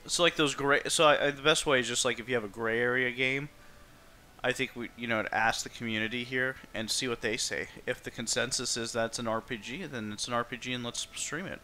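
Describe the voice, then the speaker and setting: Guy hosting a game stream, bright punchy voice, just talking casually and thinking out loud. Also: medium pitch, steady tone, fairly quick, a little hiss or hum. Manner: informal, rambling, thinking aloud. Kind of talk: casual livestream chat.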